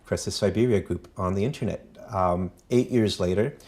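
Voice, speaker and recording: Low-voiced man recounting family history. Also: darkish tone. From Canada. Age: middle-aged. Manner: measured, many ums and uhs. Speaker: foundation president.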